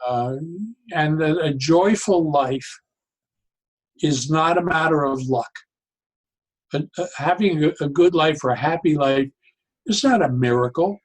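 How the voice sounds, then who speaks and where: An older man talking reflectively as an interview guest, deliberate and halting, often stopping and restarting his phrases. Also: on the high side, rough recording. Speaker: interview guest, psychologist and humor educator.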